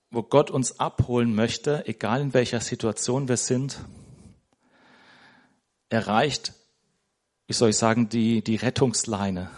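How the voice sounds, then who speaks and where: Male lay preacher, mid-range voice, loose and conversational delivery in a church, stumbling over words and tagging lines with a quick question. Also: medium pitch, a little mellow. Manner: conversational, tags nearly every sentence with "ne?"; searching for words, restarting sentences. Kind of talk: informal sermon.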